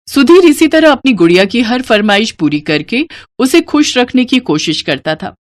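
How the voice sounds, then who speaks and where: A woman's voice: fairly low pitch, no echo. A woman reading a scripted moral story as its narrator.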